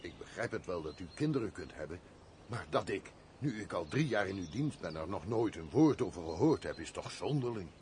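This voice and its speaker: A dutiful, placid manservant, deferential in manner. His voice sits fairly low, heard on a clearly noisy radio drama recording. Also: animated, fairly quick, darkish tone. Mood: puzzled. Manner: deferential, compliant. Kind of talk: Dutch radio play acting.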